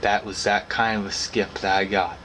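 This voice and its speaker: A young man with a low, quite flat voice explains things casually to camera. He rambles along in an informal, folksy way. Clear ambient noise sits behind his voice throughout. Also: normal speed. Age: young adult. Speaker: hobbyist vlogger. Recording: clearly noisy background ambience.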